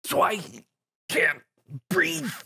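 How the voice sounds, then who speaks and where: A man's voice: sits high, wildly swooping pitch, drawn-out words, creaky, pushed and bright, husky, pitched above the performer's own voice, full character voice. Man playing a snarky young action hero. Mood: pained, winded. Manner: cocky, wry. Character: snarky, brave young male armored hero.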